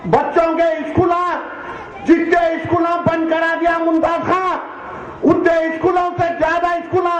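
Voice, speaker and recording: A man with a Hyderabadi Deccani accent giving an impassioned, exhortative political speech at a public meeting, repeating his appeals in colloquial phrases. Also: very high voice. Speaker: political speaker. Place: public meeting, loudspeaker address. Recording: echoing PA system, dull lo-fi, crowd.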